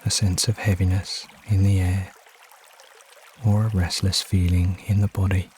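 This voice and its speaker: A man narrates a guided meditation in a low-pitched voice. He gives soothing instructions without hurry, leaving frequent pauses between phrases. A faint wash of trickling stream water runs behind him. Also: a little hiss or hum. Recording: steady water ambience behind voice. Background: trickling stream water sounds.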